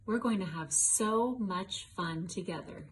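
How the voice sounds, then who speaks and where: A woman voicing an affectionate, excited little girl in a children's picture book. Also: medium pitch, measured pace, a little hiss or hum, natural voice. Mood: happy, loving. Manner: affectionate, earnest. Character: affectionate, excited little girl who loves her puppy.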